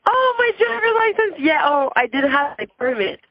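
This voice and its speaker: A woman with a hoarse, gravelly voice talks about her music, shy and full of fillers and soft giggles. The sound is dry and slightly dull, as from a lo-fi recording. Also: high-pitched, big pitch swings, normal speed, no room sound. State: hoarse from a throat issue. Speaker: musician guest. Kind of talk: casual interview answers.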